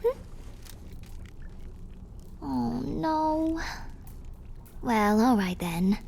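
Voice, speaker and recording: A woman playing a clumsy, affectionate and slightly mad plague doctor girlfriend in a theatrical, breathy ASMR roleplay. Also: high-pitched, lively intonation, extremely slow, darkish tone, slight background noise, exaggerated voice. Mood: playful, bubbly. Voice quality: breathy, often whispered. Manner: theatrical, affectionate, quaint turns of phrase. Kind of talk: ASMR roleplay, scripted character acting.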